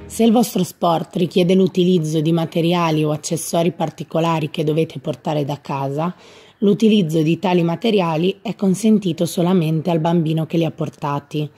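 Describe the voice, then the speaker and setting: A woman's voice: sits low, normal speed, warm tone. A woman reading scripted instructional narration, addressing young athletes in an explanatory manner. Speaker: gym staff narrator. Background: background music.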